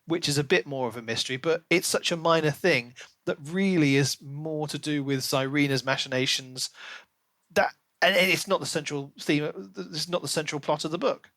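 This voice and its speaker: A man with a slightly higher-pitched voice talks casually as a podcast host. He reviews in an animated, conversational way, slipping into asides. The sound is dry, with very little room to it. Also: moderate pace, no room sound. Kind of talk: casual book review discussion.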